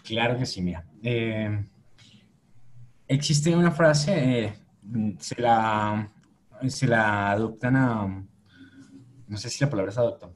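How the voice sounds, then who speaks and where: A man guest in a business podcast interview, answering reflectively and pausing to search for words. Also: on the low side, slight background noise. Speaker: agency owner, guest.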